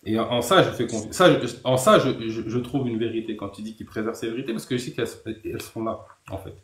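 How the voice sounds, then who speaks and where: A man's voice: mid-range voice, fast, a bit bright, reverberant. A man, a guest in a live debate, speaking hesitantly with many stammered restarts.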